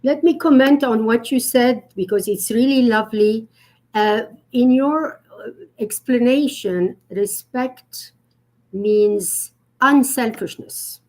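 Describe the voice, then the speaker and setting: A woman with a somewhat high voice explains etiquette in an earnest, explanatory way. She pauses often with fillers as she thinks aloud. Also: darkish tone, rough recording. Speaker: etiquette guest expert. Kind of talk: conversational live-stream talk.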